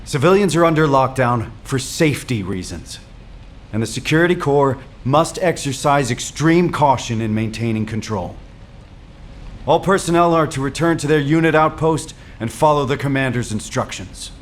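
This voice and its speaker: A man's voice: mid-range voice, lively intonation, natural voice. A man playing a stern military commander in a sci-fi game, speaking in a formal, authoritative briefing style. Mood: grave. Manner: authoritative, measured.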